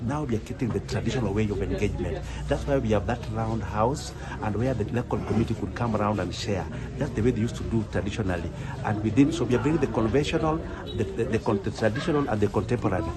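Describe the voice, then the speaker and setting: A man with a Kenyan accent giving a guest presentation, haltingly, often repeating and rephrasing his words. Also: on the low side, very noisy. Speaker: guest speaker, museum curator. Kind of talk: presentation on a live online event.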